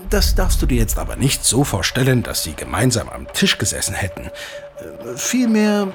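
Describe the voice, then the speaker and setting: An actor playing an eager father who recounts an old legend in an audio drama, animated, teasing and dramatic, like a keen storyteller. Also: on the low side, heavily acted. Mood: absorbed, storytelling. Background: music.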